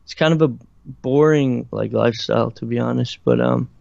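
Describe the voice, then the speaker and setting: A young man from Ireland with a slight Irish accent, answering interview questions in a halting, casual way, full of fillers and restarts. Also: mid-range voice, gravelly, darkish tone, no room sound. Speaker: interviewed musician. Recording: dry, muffled lo-fi recording.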